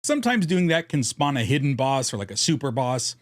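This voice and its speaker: A man's voice: medium pitch, sing-song, brisk, dry recording, clean studio sound. A man narrating a scripted explainer as host, with a casual, self-deprecating, jokey way of talking. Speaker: host of a card game deck guide channel.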